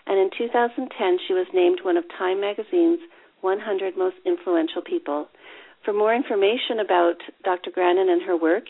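A woman's voice: fairly low pitch, breathy edge. A woman hosting a podcast interview from her office, talking in a chatty, upbeat way with frequent um and uh fillers. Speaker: podcast host. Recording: tinny phone line.

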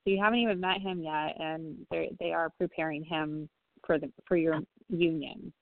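A woman medium speaks softly and reassuringly, pausing often as she searches for words. Her slightly low voice comes through a narrow, phone-like line. The sound is dry, with no room echo. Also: fairly even intonation. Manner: gentle, reassuring, hesitant with many ums. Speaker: psychic medium giving readings. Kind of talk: phone-in psychic reading on a talk show.

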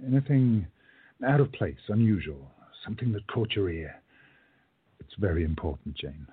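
A man playing an earnest, guarded time-travelling adventurer in a radio play, courteous and deliberate in his speech. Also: deep voice, animated, slightly rough, no echo, narrow, tinny audio, exaggerated voice. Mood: probing, serious.

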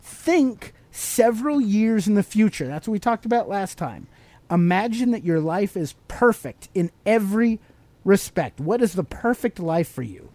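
A man hosts a podcast in a conversational, motivational teaching style. His intonation moves around a lot, and his tone is mellow and relaxed. He explains step by step and often puts questions to the listener, in a close, dry sound. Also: high-pitched, normal speed, no echo. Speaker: podcast host.

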